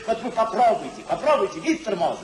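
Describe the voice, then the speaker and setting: High-voiced exuberant young rake. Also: male voice, sing-song, quick pace. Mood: tipsy, exuberant. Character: exuberant young male rake, hard-drinking, romantic spendthrift. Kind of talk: 1950s radio play acting. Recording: roomy 1950s radio recording, loud music.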